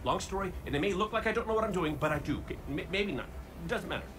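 An actor playing a wisecracking male robot gunslinger in a video game, full of quick sarcastic quips. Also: high voice, expressive, noisy background. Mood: joking, hurried. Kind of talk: video game cutscene acting.

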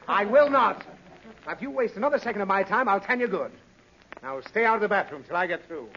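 A man's voice: high voice, expressive, fairly quick, bright, forceful tone, heavily acted. An actor playing a harried, exasperated father in 1930s radio comedy, blustering and whining. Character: harried, exasperated father, quick to threaten spankings. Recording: dull, noisy 1930s radio recording.

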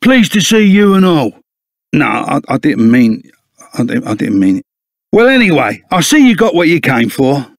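A man's voice: on the high side, big pitch swings, moderate pace, no echo, clean studio sound. A man voicing a burly, blustering but loyal bandit sidekick in a video game, with a Cockney accent and blunt, slangy manner. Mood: surprised, gruff. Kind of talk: animated video game character acting.

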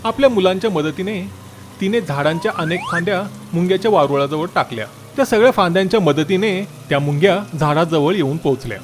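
A man narrating a scripted children's story in an expressive, dramatic way. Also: sits high, quick pace, a little hiss or hum.

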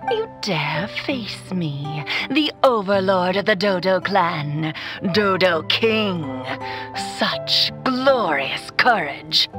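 A woman voicing a haughty, menacing overlord in a video game, with a grandiose, drawn-out delivery. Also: on the low side. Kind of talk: video game villain acting. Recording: music playing behind the voice.

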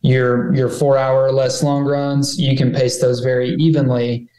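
A man's voice: medium pitch, flat delivery, unhurried, dark tone. A man guesting on a podcast, giving practical advice in an easygoing manner. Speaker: podcast guest, sports scientist. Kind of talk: casual expert discussion. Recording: echoey room.

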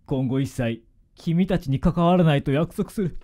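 A man's voice: a little high, moderate pace, dark tone, close to an everyday voice. A man playing a humble, remorseful middle-aged father in a Japanese radio drama. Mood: resigned.

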